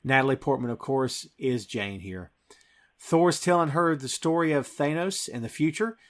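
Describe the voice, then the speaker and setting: A male podcast host with a medium-pitched voice gives an enthusiastic film recap. He tells it conversationally and emphatically, slipping into quoted dialogue as he goes. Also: expressive, moderate pace.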